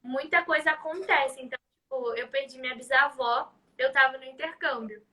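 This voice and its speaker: A woman's voice: sits high, moderate pace, sharp tone, recorded from a distance. A young woman with a Carioca accent chatting casually on a live stream, full of fillers. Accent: Carioca Portuguese. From Rio de Janeiro, Brazil. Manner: chatty, many fillers like "tipo". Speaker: live guest.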